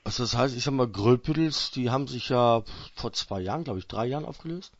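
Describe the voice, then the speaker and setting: A man's voice: mid-range voice, fairly quick, no echo. A man hosting a casual radio phone interview in a chummy, slangy, colloquial way. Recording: dull lo-fi radio sound.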